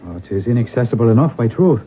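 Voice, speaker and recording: Actor as a dignified lord, tinny sound. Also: male voice, medium pitch, lively intonation, fast talker, close to an everyday voice. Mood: grave, resolute. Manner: formal, grave, stately. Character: dignified Irish lord, protective middle-aged father in exile. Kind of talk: 1970s radio drama acting. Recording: noisy, tinny old radio broadcast.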